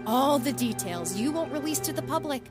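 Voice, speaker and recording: A woman's voice: high-pitched, lively intonation, normal speed, over loud music. A woman playing a confident, scheming young student and true-crime podcaster in an audio drama, polite but pointed. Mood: smug, threatening. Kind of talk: scripted audio drama acting.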